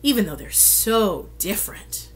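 A woman's voice: mid-range voice, sing-song. A woman voicing a curious, earnest young girl in a children's storybook. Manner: wide-eyed, emphatic, drawn-out questions.

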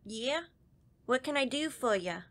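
An actress playing an offhand, drawling assistant at the door in a radio drama. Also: female voice, sits high, lively intonation, measured pace, over loud music. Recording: clearly noisy background.

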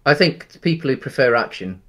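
A man's voice: medium pitch, expressive, brisk, a bit bright. A man in his thirties, co-hosting a casual film chat over a headset at home, talking with many ums and restarts. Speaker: podcast co-host. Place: home room, indoors.

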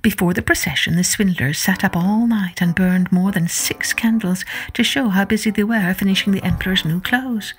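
A woman narrating a children's storybook as a podcast host, in an expressive, deliberate manner. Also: medium pitch.

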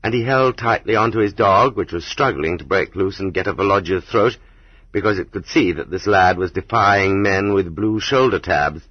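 A man reading an audiobook as scripted literary narration, in a measured, dramatic manner. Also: low-pitched, breathy edge, no room sound. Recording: slightly dull, muffled audiobook recording.

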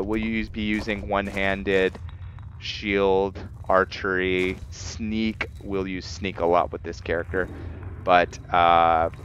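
A man giving casual, halting gameplay commentary with roleplay narration, thinking aloud as he goes. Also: deep voice, fairly bright tone. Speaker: gameplay video host. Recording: dull lo-fi mic, noisy background.

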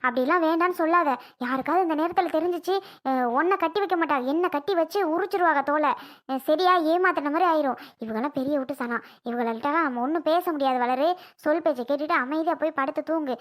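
Woman acting a stern, high-voiced village mother. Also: fast talker, close to an everyday voice. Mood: anxious warning. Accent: southern Tamil Nadu. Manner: scolding, threatening, insistent. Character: stern, anxious mother of a reluctant bride. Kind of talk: village family drama acting.

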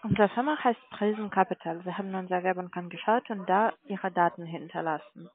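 A woman's voice: fairly low pitch, brisk. A woman on a sales call, formal, polite but firm. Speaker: call centre sales agent. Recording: narrow phone-line audio.

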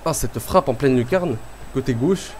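A man with a cold commentating his own football video game match in a casual, excitable way, calling out to the players and the referee. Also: mid-range voice, normal speed, a little hiss or hum. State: has a cold.

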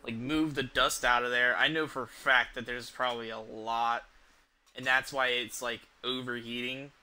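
A young man chatting casually on a game livestream, halting and hesitant, with a sweary, offhand way of talking. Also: mid-range voice, steady tone, bright, forceful tone. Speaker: video game streamer. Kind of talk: casual livestream chat over gameplay. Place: small indoor room at home.

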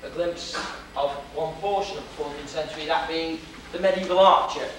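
Welsh guy hamming it up at a lecture, kinda high voice, roomy hall, camera way back, hissy tape. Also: fairly even intonation, normal speed, a bit bright. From Wales. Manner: theatrical, joking with the audience. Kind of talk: costumed history lecture. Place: lecture hall with projection screen. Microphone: far camera. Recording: echoey hall, distant camera, noisy old video.

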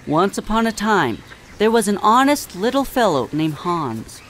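Audio drama songbird, male actor: gentle, measured storyteller, faint background noise. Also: high-pitched, sing-song, full character voice. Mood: gentle narration. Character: gentle, wise little songbird telling a story.

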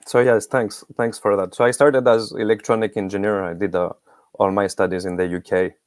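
A man's voice: lowish voice, level tone, brisk, no echo, clean studio sound. A middle-aged man giving explanatory interview answers as a guest expert on a video call. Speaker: guest expert, academic researcher.

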